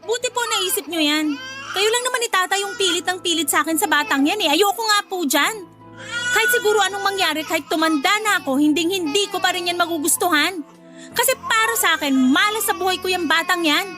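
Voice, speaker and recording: Very high-voiced, bitter young mother. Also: fairly quick. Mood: cold, resentful. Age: young adult. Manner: irritated, sharp-tongued, whining back at parents. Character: bitter, defiant young mother who rejects her baby. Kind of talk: Tagalog radio drama acting. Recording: loud music under the voice.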